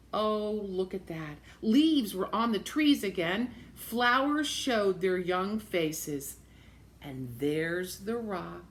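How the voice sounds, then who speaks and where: Woman, mid-pitched voice, slow pace, expressive children's storytime reading, simple repeated asides about the pictures. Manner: expressive, repeats phrases, points things out to children. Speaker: storybook reader for young children. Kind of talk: picture-book read-aloud with simple asides explaining the pictures.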